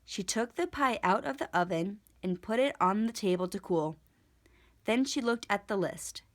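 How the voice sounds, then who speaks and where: A woman reading a storybook aloud for children in an expressive, deliberate storytelling manner. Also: mid-range voice. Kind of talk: scripted storybook read-aloud for kids.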